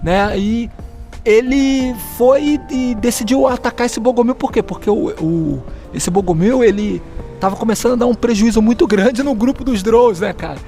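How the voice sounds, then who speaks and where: A man's voice: extremely high pitch, moderate pace, dark tone, faint music behind. A man with a Brazilian Portuguese accent casually recounting tabletop game stories in a building's stairwell, searching for words and chuckling as he goes. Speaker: guest, fellow tabletop player. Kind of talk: casual storytelling.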